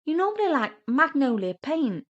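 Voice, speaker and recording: A woman voicing a sarcastic, teasing and immature younger sister in a comic audio story, bickering and excitable. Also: high-pitched, normal speed, highs cut off, pitched above the performer's own voice. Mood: incredulous.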